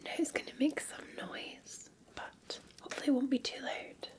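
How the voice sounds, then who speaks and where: Whispering Scottish woman, soft ASMR barista. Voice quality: breathy whisper. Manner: gentle, unhurried, soothing. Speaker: ASMR performer playing a café barista. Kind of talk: ASMR roleplay, softly talking to the listener as a customer.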